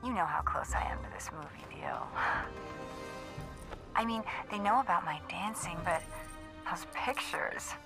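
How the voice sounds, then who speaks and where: A woman playing a wheedling, sultry aspiring starlet in a noir-style game cutscene, coaxing with breathy asides. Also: fairly low pitch, expressive, measured pace, pauses often. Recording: music behind.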